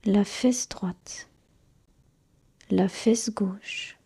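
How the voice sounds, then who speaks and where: Female meditation guide: soft, breathy near-whisper, dark and relaxed tone, soothing short phrases with long pauses. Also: medium pitch. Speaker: yoga nidra guide.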